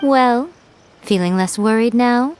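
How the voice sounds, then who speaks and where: A woman's voice: a little high, slow, noisy background. A woman voicing a sly, self-assured noble lady in a video game, poised, knowing and teasing.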